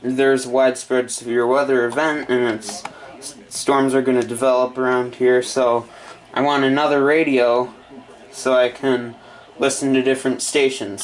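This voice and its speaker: Man, very slow halting speech, medium pitch, casual hobby video narration, short muttered phrases. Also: rough recording. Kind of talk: casual unboxing and setup narration.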